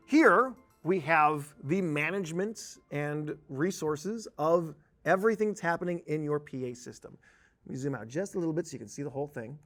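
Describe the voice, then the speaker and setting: A man giving an informal, conversational software tutorial, explaining things step by step as an instructor. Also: fairly high pitch. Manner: explanatory, informal, uses spoken examples. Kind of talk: conversational screen-share tutorial.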